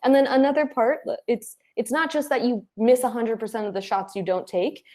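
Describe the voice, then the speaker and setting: Woman guest on an interview, kinda high voice, animated, chatting through advice and stories. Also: brisk. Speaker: interview guest, head of client acquisition. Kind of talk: conversational interview, advice with personal anecdotes.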